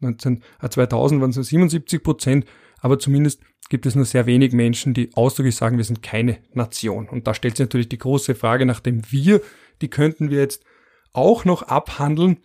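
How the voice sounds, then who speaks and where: Male podcast host, mid-pitched, conversational, wry, reads figures aloud and reflects on them. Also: a little fast, warm tone. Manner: reads out statistics, musing and wry.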